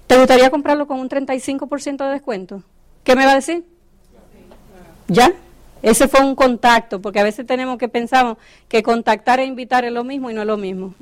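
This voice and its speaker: A woman's voice: on the high side, no room sound. Upbeat woman coaching a sales group. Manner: coaching, asks the audience questions. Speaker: business trainer. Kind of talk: motivational training talk to a group.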